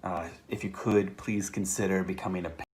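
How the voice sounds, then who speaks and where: Very low-voiced man making an appeal. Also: little pitch movement, fairly quick. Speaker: theater show creator. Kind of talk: fundraising appeal.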